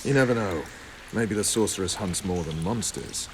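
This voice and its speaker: An actor playing a gruff, cynical military commander in a video game, blunt and sardonic. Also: male voice, deep voice, moderate pace, noisy background, close to an everyday voice. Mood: grim, wry. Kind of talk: video game cutscene acting. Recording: faint rain and ambience behind.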